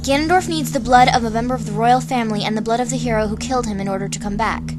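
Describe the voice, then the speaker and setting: Woman acting intense female spirit, high-pitched, rapid, insistent, fantasy audio drama, music behind. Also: lightly acted. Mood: urgent, explaining. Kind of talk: amateur fantasy radio play acting.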